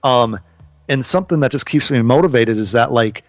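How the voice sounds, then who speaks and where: A man's voice: medium pitch, fairly quick, dry recording. A man in his thirties, a podcast guest, talking earnestly and casually about business, swearing now and then. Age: 30s.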